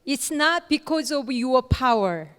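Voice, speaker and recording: A woman giving a lecture in short, paused phrases with fillers, leaving room for an interpreter. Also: sits high, animated, smooth. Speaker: guest lecturer. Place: lecture hall. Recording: long hall reverb.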